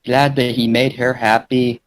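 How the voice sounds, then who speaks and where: A man with a medium-pitched voice works as host and narrator of an amateur fan fiction reading. His delivery is casual, and he sometimes trips over his words. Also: flat delivery, a little slow, background noise. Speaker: channel host and story narrator.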